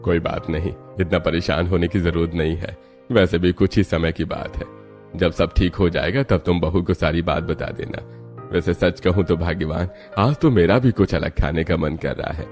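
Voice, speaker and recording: Man doing a deep, mellow older father-in-law. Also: steady tone, normal speed, soft background music. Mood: reassuring. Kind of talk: Hindi family cartoon voice acting.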